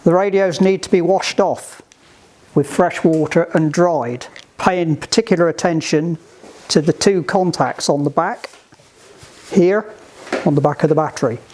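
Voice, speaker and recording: A man giving hands-on instruction to a group in a halting, deliberate, emphatic way, on an amateur recording. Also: on the high side, a little mellow. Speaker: sailing club instructor giving radio training.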